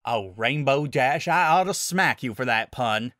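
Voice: mid-range voice, animated, measured pace, fairly bright tone, dry recording, clean studio sound. A narrator voicing a stern, easily irked female paladin in a fantasy story, solemn and dryly exasperated. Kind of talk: audiobook-style fantasy story character.

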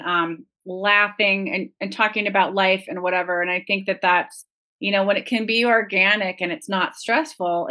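A woman's voice: medium pitch, pushed and bright. A woman guest on a podcast, talking frankly and earnestly about cooking with a friend. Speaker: guest, TV presenter. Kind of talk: casual interview chat.

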